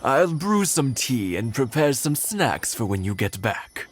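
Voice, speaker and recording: A man voicing a courteous, grateful elderly village man in a video game, polite and gentle in manner. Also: medium pitch, very animated, a little slow, slight background noise. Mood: hospitable.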